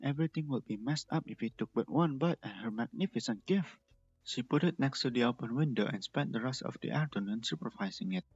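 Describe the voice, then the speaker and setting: A man's voice: mid-range voice, animated, brisk, a little mellow, husky, no echo, muffled recording, faint music behind. A man reading a story aloud as the narrator. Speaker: fan fiction reader and narrator.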